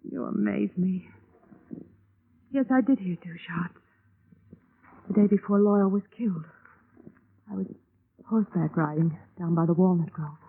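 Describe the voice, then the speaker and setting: An actress playing a coy, evasive young widow in a 1950s radio drama, speaking in a breathy, flustered way. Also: female voice, fairly low pitch, measured pace, long pauses, slight background noise. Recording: tinny old radio broadcast.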